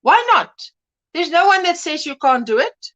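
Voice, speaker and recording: A woman's voice: high voice, lively intonation, normal speed, fairly bright tone. A woman hosting a casual crochet livestream, chatty and greeting viewers by name, with a mixed English and Afrikaans home language. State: drowsy from pain tablets. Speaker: livestream host.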